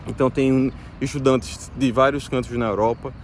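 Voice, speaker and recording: A man from Brazil in his thirties talks with a Brazilian Portuguese accent, in a mid-pitched voice. He speaks to his viewers in a relaxed, hesitant way, often stopping and restarting. Faint street and car noise sits behind him outdoors. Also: normal speed. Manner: hesitant, many fillers and restarts. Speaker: vlogger. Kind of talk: casual explanatory talk to viewers.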